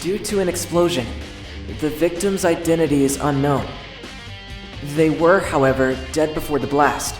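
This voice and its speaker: A man voicing an earnest, anguished high-school boy in an introspective, dramatic anime fandub. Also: moderate pace, echoey room, faint music behind, lightly acted, poor audio. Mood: somber, determined.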